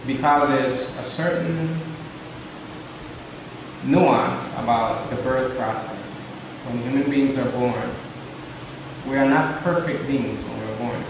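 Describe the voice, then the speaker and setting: Male spiritual teacher, flat even intonation, deliberate emphatic repetition, heavily reverberant distant recording. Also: mid-range voice, creaky. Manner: deliberate, rhetorical questions, repeats phrases for emphasis. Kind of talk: spiritual lecture. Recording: very echoey reverberant room, distant, loud hiss.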